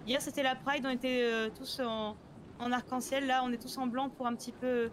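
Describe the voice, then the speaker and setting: Earnest young organiser, acted by a woman, high and hesitant, game roleplay, clear background noise. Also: little pitch movement, close to an everyday voice. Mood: earnest, solemn. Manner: hesitant, halting address. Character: earnest young woman leading a peace march. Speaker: online roleplay player. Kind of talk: improvised in-character speech. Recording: noisy game ambience behind.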